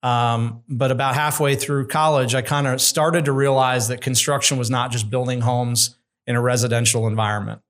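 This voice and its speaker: A middle-aged man speaks as a podcast guest, calm and measured, with a candid and thoughtful way of putting things. His voice sits at an ordinary middle pitch for a man. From United States. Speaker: construction executive guest. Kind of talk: conversational interview.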